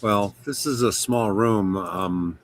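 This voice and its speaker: Low-voiced man playing a tabletop game. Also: slow, a little hiss or hum. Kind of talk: casual game chat. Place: online group call.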